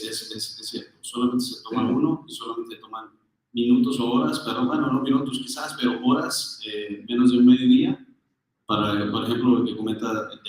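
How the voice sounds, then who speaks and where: A man speaks earnestly and emphatically to an audience, leaning on fillers as he makes his points. He sounds distant from the microphone in a very echoey room. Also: medium pitch. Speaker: official speaker at a business briefing. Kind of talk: public address switching between languages. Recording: echoey room, far from the mic.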